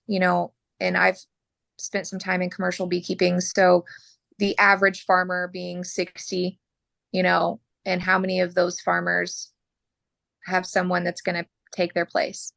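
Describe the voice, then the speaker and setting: A woman from Oklahoma, a butcher, talking frankly as a podcast guest while losing her voice and clearing her throat. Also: on the low side, monotone, a little slow, a bit bright, no echo. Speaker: butcher and mobile processor owner.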